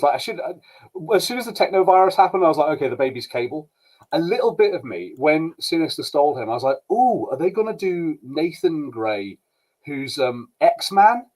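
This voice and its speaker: A man's voice: a little high, rough recording. Man chatting casually on a podcast. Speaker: podcast co-host. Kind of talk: casual review chat.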